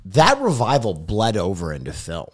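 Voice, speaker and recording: A man co-hosting a casual podcast, relaxed, often trailing off into low mumbles. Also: moderate pace, breathy edge. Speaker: podcast co-host.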